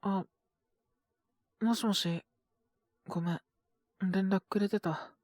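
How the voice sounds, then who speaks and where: A woman playing a sick young male schoolteacher in a Japanese audio drama, gentle and flustered, speaking hesitantly in a weak, feverish mumble. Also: mid-range voice, steady tone, dry recording, clean studio sound. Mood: apologetic, hesitant. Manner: hesitant, mumbling, trailing off with many pauses. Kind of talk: Japanese boys' love situation voice drama.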